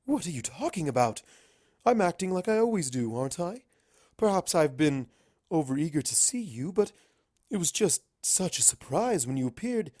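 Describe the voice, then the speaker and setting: A man's voice: a little high, big pitch swings, moderate pace, darkish tone, dry recording, clean studio sound, pitched above the performer's own voice. A man voicing a coy, regal female pony princess in a fan fiction reading. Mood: defensive, earnest.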